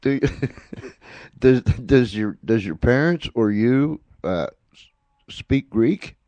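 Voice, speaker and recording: A man hosting a casual interview, asking questions haltingly and repeating words as he goes. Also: medium pitch, lively intonation, mellow, no room sound, lo-fi sound. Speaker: radio show host, interviewer.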